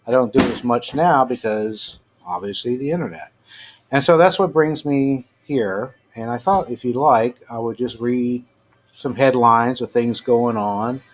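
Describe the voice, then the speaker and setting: A middle-aged American man giving casual, halting news commentary as a host, informal and prone to trailing off. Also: medium pitch. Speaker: host of a personal rant show. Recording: clearly noisy amateur home recording.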